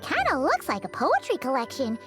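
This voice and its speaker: A tiny fairy sidekick with an extremely high, squeaky, childlike voice. The delivery is chatty and excitable, bouncing all over in pitch over playful game music. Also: female voice, fairly quick. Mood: curious, suspicious. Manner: speaks in third person, expressive. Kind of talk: video game character dialogue.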